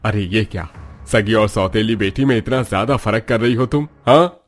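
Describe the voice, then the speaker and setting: Man acting a low-voiced, reproachful middle-aged father. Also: fairly even intonation, normal speed, faint music behind, lightly acted. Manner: questioning, reproachful.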